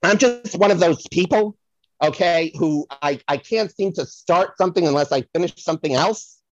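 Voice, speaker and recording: Actor as a flaky self-promoting psychic, comedy sketch, very animated pitch, dry dull recording. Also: normal speed, no echo, lightly acted. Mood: chatty, distracted. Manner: rambling, stalling, theatrical swoops. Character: scatterbrained, self-promoting psychic to the stars, easily distracted. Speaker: psychic guest on a podcast. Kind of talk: comic improvised audio sketch.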